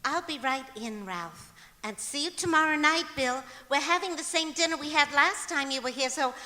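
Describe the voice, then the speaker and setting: Woman playing a high, chirpy, scatterbrained wife. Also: normal speed, pushed and bright. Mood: innocently daffy. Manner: earnest, innocently daffy. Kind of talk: old-time radio comedy acting on stage. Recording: echoey auditorium stage recording.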